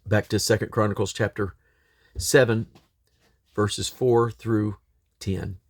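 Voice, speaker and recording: A man reading scripture aloud in a solemn, declamatory way, with measured phrases and deliberate pauses. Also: lowish voice, steady tone, no room sound. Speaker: Bible reader.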